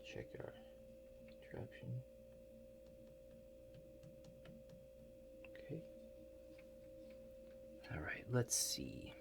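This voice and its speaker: A man playing an awkward, hesitant operator of a strange future-viewing machine in a soft-spoken ASMR roleplay, halting and understated. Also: mid-range voice, huge pitch swings, creaky, very noisy, heavily acted. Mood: absorbed, tentative. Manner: halting, understated, mumbled asides with many pauses.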